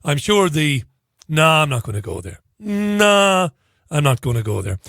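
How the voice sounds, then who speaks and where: Hoarse male talk host, dry close mic, chatty and wry, cuts himself off mid-thought. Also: on the high side, very animated, a little slow, fairly bright tone, dry recording. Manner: chatty, wry asides, self-interrupting. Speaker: radio show host. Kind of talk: casual talk radio monologue.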